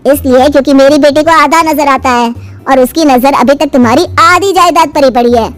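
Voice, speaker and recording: A woman's voice: high voice, fairly quick, natural voice. A woman voicing a shrewd, protective, wealthy middle-aged father in a storybook tale. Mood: stern, crafty. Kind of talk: animated storybook dialogue. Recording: music under the dialogue.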